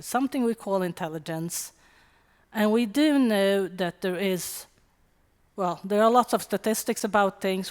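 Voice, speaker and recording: A middle-aged woman from Sweden with a Swedish accent, giving a wry, conversational conference talk with quick asides. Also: mid-range voice. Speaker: conference speaker. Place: conference hall. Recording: long hall reverb.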